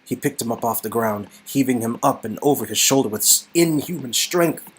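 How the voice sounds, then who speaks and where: A man's voice: mid-range voice, expressive, a little fast, slight background noise, low-quality recording. A man narrating an interactive game story in a dramatic storytelling style, with music behind him. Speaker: narrator and co-performer.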